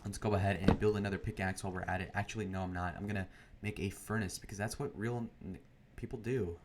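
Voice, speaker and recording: Young male gamer, deep and monotone, meandering chatter, heavy noise behind. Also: fast. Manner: meandering, full of fillers and restarts. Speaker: gaming video creator. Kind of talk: rambling commentary over gameplay. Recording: loud background noise.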